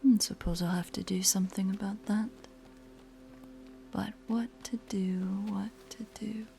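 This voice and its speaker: A woman playing a predatory, teasing spider-woman monster in a horror audio roleplay, with a breathy, whispery voice and a taunting, menacing manner. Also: mid-range voice, fairly even intonation, warm tone, faint music behind. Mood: playful menace. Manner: slow, taunting, playfully sinister.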